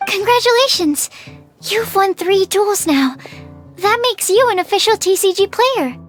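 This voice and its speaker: A sweet young girl from a game, very high in pitch. She is shy and polite, slightly hesitant, with soft music behind her. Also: animated, a little slow. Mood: cheerful.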